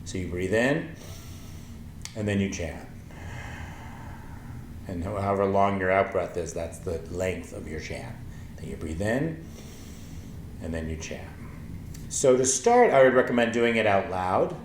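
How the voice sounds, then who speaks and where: Low-voiced man teaching yoga calmly. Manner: slow, gentle, thoughtful, with many ums. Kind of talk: calm spoken yoga lesson with chanting. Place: small wooden-floored room with paper screen, indoors. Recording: echoey room, faint ambient noise.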